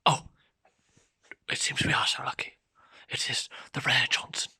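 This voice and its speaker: Guy doing a whispery nature doc narrator, really breathy and bright, super dry. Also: mid-range voice, little pitch movement, measured pace, heavily acted. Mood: hushed. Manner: hushed whispering, reverent, mock-solemn. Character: hushed male wildlife documentary narrator. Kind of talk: parody nature documentary narration.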